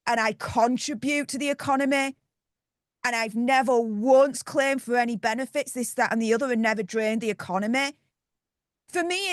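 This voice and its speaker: A woman with a Yorkshire accent argues as a panel guest, blunt and emphatic. Her voice sits a little high for a woman. The sound is dry and close, with no room around it. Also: dry recording. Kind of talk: heated political panel talk.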